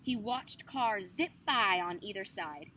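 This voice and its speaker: Unhurried, bright-voiced young woman reading a story. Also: mid-range voice, expressive. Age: young adult. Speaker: student storybook reader. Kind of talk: scripted children's story narration. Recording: noticeable background noise.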